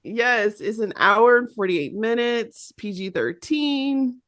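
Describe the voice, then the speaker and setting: Lively Midwestern woman podcast host. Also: on the high side, slow. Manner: animated, laughs often. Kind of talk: casual pop-culture chat.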